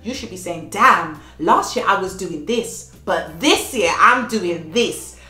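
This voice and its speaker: A woman in her thirties talks earnestly to camera about life lessons, nursing a slight cold. Her voice is bright and sits a little low. The room is echoey, with faint music behind her. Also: expressive, slow pace. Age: 30s. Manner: earnest, repeats phrases for emphasis. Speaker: vlogger. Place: at home, child playing in next room.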